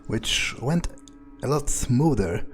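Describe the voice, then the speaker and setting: A man playing a sardonic, pragmatic vampire, muttering his thoughts aloud in a hesitant, deadpan way as in-character game commentary. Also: medium pitch, slow. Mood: musing, muttering. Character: sardonic, pragmatic male vampire sneaking around. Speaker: game player roleplaying a character.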